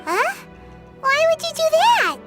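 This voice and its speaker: A woman voicing a tiny, excitable fairy companion who talks about herself in the third person. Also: very high voice, a little slow, heavily acted. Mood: excited. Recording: game audio with loud music.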